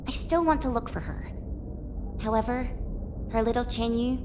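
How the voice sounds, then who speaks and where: A woman playing a cheerful, spirited, earnest young swordswoman adventurer in a video game, with a perky, expressive, youthful delivery. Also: a little high, moderate pace, noisy background, close to an everyday voice. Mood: wistful, determined. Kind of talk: video game character voice lines.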